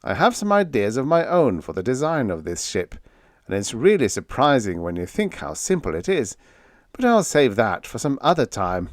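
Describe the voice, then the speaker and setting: A man's voice: medium pitch, big pitch swings, normal speed, dry recording, lightly acted. Male narrator as confident space-company boss, persuasive, explanatory, swooping intonation, dry sound, audiobook dialogue. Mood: confident, rallying. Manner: explanatory, rallying speechmaking.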